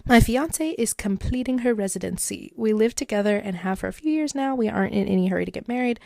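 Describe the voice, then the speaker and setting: Stuffy-nosed woman with a gravelly voice. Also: mid-range voice, lively intonation, quick pace, darkish tone, no echo. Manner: chatty and rambling, many likes and fillers. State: stuffed up, congested. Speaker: podcast host. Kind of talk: casual solo podcast, reading online advice posts aloud.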